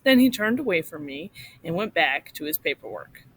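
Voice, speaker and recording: A woman reading a short story aloud to children in an expressive, instructive way, like a teacher. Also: sits low, normal speed, husky, rough recording.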